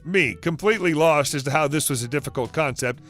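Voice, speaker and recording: A man's voice: a little high, fairly quick, a bit bright, soft background music. A man narrating an online story in a matter-of-fact reading voice.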